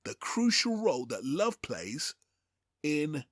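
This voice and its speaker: Male Bible teacher, slightly high voice, emphatic and deliberate sermon delivery, close dry sound. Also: big pitch swings, a bit bright, dry recording. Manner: deliberate, emphatic, phrase-by-phrase delivery with rhetorical questions.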